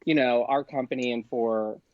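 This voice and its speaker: A man speaks as a podcast guest in a casual, chatty storytelling style, animated and quick to act out dialogue. His voice sits at a medium pitch. The recording is dry and a little muffled. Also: no room sound. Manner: animated, acts out quoted exchanges. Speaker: podcast guest, performer and producer.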